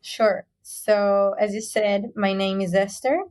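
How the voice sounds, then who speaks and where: A woman's voice: medium pitch, little pitch movement, slow pace, darkish tone, clear, resonant tone. A woman from Chile, a native Spanish speaker, giving explanatory answers as an interview guest and restating her points. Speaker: guest, head of information security.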